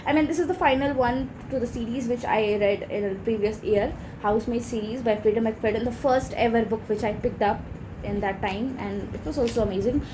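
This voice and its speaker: A woman's voice: a little high, a little fast. A woman chatting casually and enthusiastically to viewers as a book review vlogger, gushing as she goes. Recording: clear background noise.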